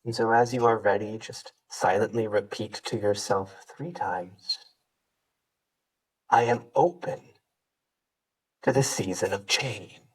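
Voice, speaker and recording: A man teaching yoga, leading a guided meditation with gentle, unhurried instructions and pauses. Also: lowish voice, breathy edge, distant mic, low-quality recording.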